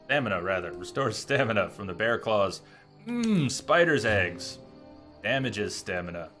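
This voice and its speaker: A man's voice: on the low side, a bit bright. A man giving casual live gameplay commentary as a streamer, rambling through explanations in a joking way. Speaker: game streamer. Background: game music.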